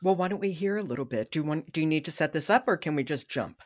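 A woman's voice: deep voice, animated, fast talker. A woman hosting a podcast in a conversational interview style. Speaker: podcast host.

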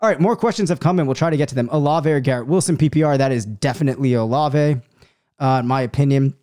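Hoarse man hosting a sports podcast. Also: medium pitch, quick pace, dark tone. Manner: thinks aloud, many fillers and hedges. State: hoarse, coming down with a cold. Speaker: fantasy football podcast host. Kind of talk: live solo Q&A, answering listener questions.

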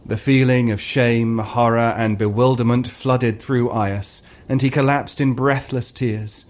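Slow-paced man narrating a dramatic story. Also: medium pitch, steady tone, a little mellow.

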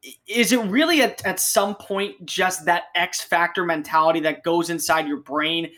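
A man's voice: high voice, moderate pace, bright, forceful tone. A man with an American accent hosting a sports podcast in a conversational way.

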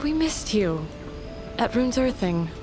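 A woman's voice: on the high side, sing-song, slow, over music. Frank, teasing young woman. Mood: wry, affectionate. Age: young adult. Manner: wry, familiar, direct. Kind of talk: fantasy audio drama acting.

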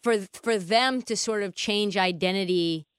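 A woman's voice: mid-range voice, measured pace, smooth, no echo, studio quality. A woman hosting a sports talk show in a conversational style.

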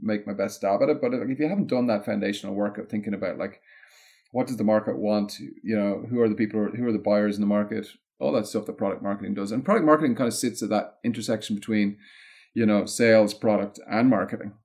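A man with an Irish accent explaining things in a casual interview, in a discursive, halting way with plenty of "like" and "you know". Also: on the low side, a little mellow. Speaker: interview guest, content marketing consultant.

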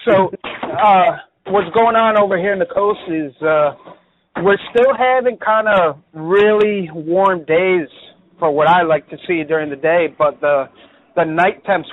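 A man calling into a hunting radio show, chatting casually and laughing often. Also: on the high side. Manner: laid-back, laughs often, says "man" a lot. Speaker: caller. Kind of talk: casual call-in chat. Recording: tinny phone line, dry.

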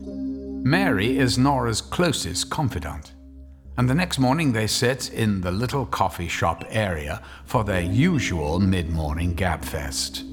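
An actor playing the narrator of a horror mystery audio drama, in scripted storytelling style. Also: male voice, on the low side, animated, measured pace. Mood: measured storytelling. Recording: music under the voice. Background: music.

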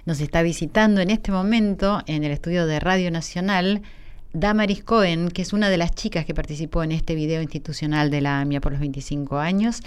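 A woman hosting a radio interview from a studio, speaking in a gentle, reflective way. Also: fairly low pitch, a little fast, clear, resonant tone. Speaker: radio host.

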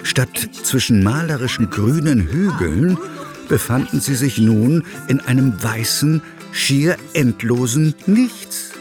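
A man narrating a children's audio drama as a wry, expressive storyteller. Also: medium pitch, slow pace, over music. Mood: wry, storytelling.

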